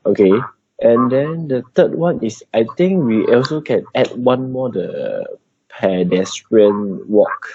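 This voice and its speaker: A man with a Malaysian accent giving an update in an informal work meeting on a video call, in halting non-native English. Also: mid-range voice, sing-song, warm tone. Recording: dull, noisy video call with clicks.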